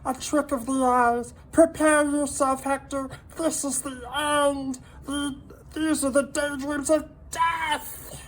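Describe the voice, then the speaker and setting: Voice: high-pitched, fairly even intonation, takes it slowly, a little hiss or hum, voice pushed up, low-quality recording. A reader voicing a small, loyal, world-weary male salamander in a children's storybook, with a dramatic know-it-all manner. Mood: gloomy, dramatic.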